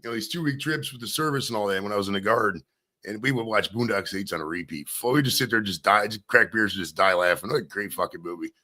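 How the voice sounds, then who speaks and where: A man's voice: on the low side, fast, sharp tone. A man hosting a live podcast interview, talking loosely and profanely in a rambling, casual way. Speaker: podcast host.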